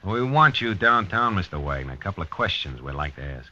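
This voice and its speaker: A dogged, world-weary American police lieutenant in a 1950s radio play. He is clipped and hard-boiled, low and very sharp, with lively intonation. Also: a little fast, slight background noise, exaggerated voice. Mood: blunt, pressing. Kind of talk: 1950s radio drama acting and first-person narration. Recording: dull vintage 1950s radio recording.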